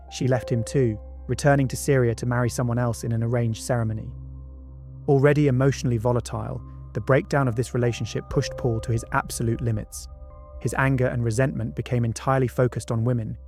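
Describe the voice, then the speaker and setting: A man narrates a true-crime documentary from a script. His delivery is measured and dramatic, at a medium pitch, with music playing underneath. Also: fairly even intonation, darkish tone. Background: background music.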